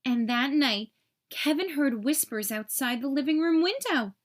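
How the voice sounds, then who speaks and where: A woman narrating a children's story aloud in an expressive, clearly enunciated storytelling manner. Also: high voice, measured pace. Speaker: storybook reader.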